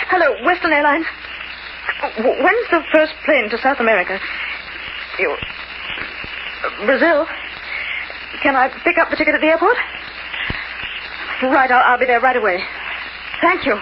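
High-voiced scheming girlfriend, old radio. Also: animated, moderate pace, long pauses. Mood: hurried. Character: scheming, nervous young woman, bank robber's duplicitous girlfriend. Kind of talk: 1940s-50s radio drama acting. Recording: noisy, dull old radio recording.

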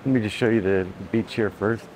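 A man's voice: fairly low pitch, brisk, slight background noise, low-quality recording. A man talking casually as he walks outdoors, filming a travel vlog with a handheld camera, rambling and correcting himself. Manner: rambling, relaxed, self-correcting. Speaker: travel vlogger. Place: outdoors by a beach and dirt roads. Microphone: handheld camera, filming first-person.

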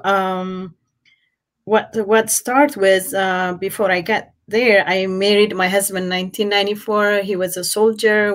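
A middle-aged woman from Ethiopia with an Ethiopian accent, telling her story conversationally as an interview guest on a video call. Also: lowish voice. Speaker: interview guest, restaurant owner. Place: indoor room at home, on a video call. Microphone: computer mic on a video call.

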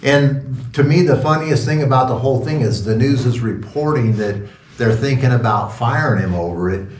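A man's voice: mid-range voice, moderate pace, darkish tone, slight background noise. A man in his sixties, a pastor, giving an informal, rambling sermon talk with sarcastic asides. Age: 60s. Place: small wood-paneled room indoors. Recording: roomy echo, distant from the mic.

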